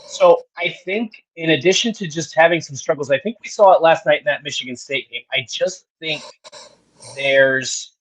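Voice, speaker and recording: A man in his thirties, a co-host who lays out his analysis in a measured way and cites stats in casual chat. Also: a little high, a bit bright, poor audio. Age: 30s. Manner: measured, explanatory, citing stats.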